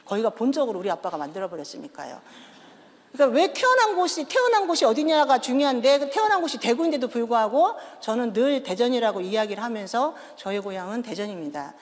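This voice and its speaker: Woman, 50s, Chungcheong accent, pastor's wife, animated storyteller, very expressive, long hall echo. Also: fairly high pitch, fast. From Daejeon, South Korea. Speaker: pastor's wife, guest speaker. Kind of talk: humorous church testimony. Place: large church hall. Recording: echoey church hall.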